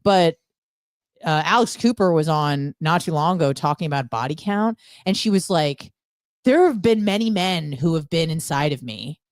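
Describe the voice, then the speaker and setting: A woman with a slightly low voice talks casually as a radio co-host. She is opinionated and blunt, gossips freely and peppers it with profanity. The sound is dry, with very little room. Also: expressive, moderate pace, no echo.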